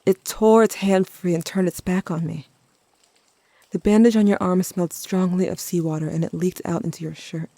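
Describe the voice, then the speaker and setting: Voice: medium pitch, steady tone, moderate pace, creaky, dark tone, dry recording, close to an everyday voice. A performer playing a grieving teenager who narrates events intimately, addressing a sibling, in an audio drama. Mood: tense.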